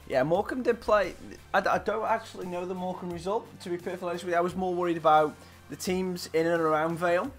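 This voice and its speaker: A hoarse man, streaming live and giving excitable, chatty play-by-play to his viewers. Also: high-pitched, fast talker, hardly any pauses, faint music behind. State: hoarse, scratchy throat. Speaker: game streamer. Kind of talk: live play-by-play commentary while gaming.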